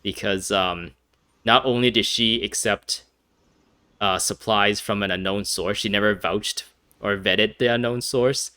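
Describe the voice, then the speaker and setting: A man's voice: fairly low pitch, fairly even intonation, a little slow, sharp tone, clear, resonant tone, no room sound, clean studio sound. A man giving casual gameplay commentary, rambling with many fillers and restarts. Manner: rambling, hesitant, frequent fillers and restarts, laughs often. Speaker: gaming commentator.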